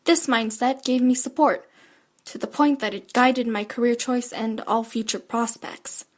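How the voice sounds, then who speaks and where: Woman playing a high-voiced, guarded, gloomy schoolgirl. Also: fairly even intonation, a little slow. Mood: brooding. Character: gloomy, guarded schoolgirl narrating her misfortunes. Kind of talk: audio drama acting with inner narration.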